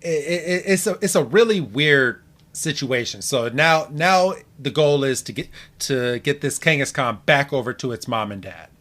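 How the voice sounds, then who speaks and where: A man's voice: mid-range voice, animated, moderate pace, a bit bright. A man co-hosting a podcast, casually recapping a cartoon episode and often repeating phrases as he explains. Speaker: podcast co-host.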